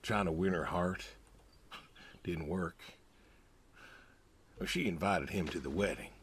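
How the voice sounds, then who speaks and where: An actor playing a weary, wistful older American pig farmer and war veteran in a video game, talking in a slow, halting, reflective way. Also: male voice, sits low, fairly bright tone, slightly rough, heavily acted. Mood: wry, bitter. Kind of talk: understated naturalistic game acting.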